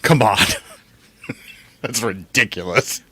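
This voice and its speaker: A man's voice: fairly low pitch, slow pace, fairly bright tone. A man co-hosting a podcast with casual, playful banter and a mock-dramatic streak. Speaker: podcast co-host.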